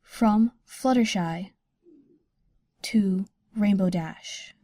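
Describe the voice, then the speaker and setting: A woman narrating from a script in short, measured announcements. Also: medium pitch, mellow, no room sound, studio quality.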